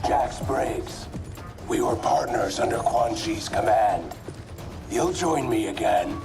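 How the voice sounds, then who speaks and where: A man's voice: fairly low pitch, lively intonation, slow pace, gravelly, heavily acted. A man playing a menacing masked undead fighter in a video game, his voice processed through a filter, with music behind. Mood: cold menace. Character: menacing undead revenant male speedster fighter in a mask. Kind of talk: video game cutscene acting.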